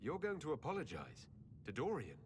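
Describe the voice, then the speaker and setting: A man's voice: mid-range voice, wildly swooping pitch, a little fast, a little hiss or hum. A man playing a composed, courteous fantasy leader in a video game, speaking politely and directly. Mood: curious. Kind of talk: video game cutscene acting.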